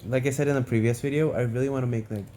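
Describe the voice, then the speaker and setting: A man's voice: medium pitch, steady tone, rapid-fire, dark tone, slight background noise. A man hosting a game story read-along in casual, informal chat.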